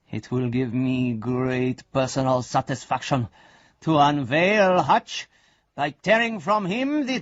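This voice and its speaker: An actor playing a pompous, self-important investigator giving a formal report in a radio drama, with a husky voice and deliberate manner. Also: male voice, sits high, dry recording, lo-fi sound, full character voice. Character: pompous male investigator reporting gravely to his superiors.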